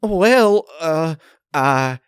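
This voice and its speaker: A man's voice: a little high, animated, very slow, clean tone, no room sound, studio quality, pitched above the performer's own voice. A man voicing a nervous, evasive female farm pony in a story, with a folksy, stammering way of talking. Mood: nervous, forced cheer.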